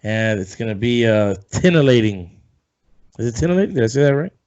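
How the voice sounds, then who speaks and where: A man hosting a casual sports podcast, rambling and loose, swearing offhand, a bit hoarse as if coming down with something. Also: medium pitch, expressive, fairly quick, a little mellow. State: losing his voice, feeling sick. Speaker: podcast host. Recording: dull lo-fi sound.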